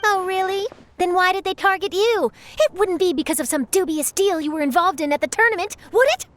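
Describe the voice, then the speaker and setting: A very high-pitched, sharp young reporter. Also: female voice, fairly quick. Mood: suspicious, probing. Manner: probing, articulate, theatrical. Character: sharp, inquisitive, confident young female journalist. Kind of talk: anime-style video game voice acting.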